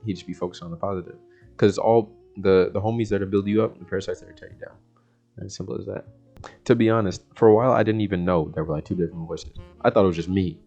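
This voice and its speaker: Young adult man, American accent, low pitch, laid-back slangy delivery, informal monologue, faint music behind. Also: quick pace, mellow, muffled recording. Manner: slangy, laid-back, rambling, often chuckling. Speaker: vlogger. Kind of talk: casual self-help monologue.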